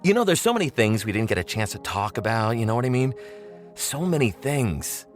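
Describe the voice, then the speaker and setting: An actor playing a chummy old pal with veiled menace in an audio drama monologue, talking straight to the listener in a rambling, needling, familiar way. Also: male voice, medium pitch, very animated, fairly quick, faint music behind, lightly acted. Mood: chummy, insinuating. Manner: conversational, rambling, chuckling, pointedly familiar.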